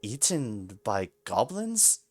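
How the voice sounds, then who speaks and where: A man voicing a blunt, quick-tempered young woman full of slang. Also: lowish voice, sing-song, exaggerated voice. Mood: disgusted. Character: brash rough-talking young woman.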